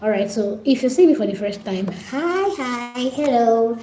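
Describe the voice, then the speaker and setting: A woman's voice: a little high, expressive, a little slow, mellow, slight background noise. Nigerian woman live-streaming in an echoey room. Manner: pleading, repetitive, talks straight to viewers. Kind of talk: casual live stream chat.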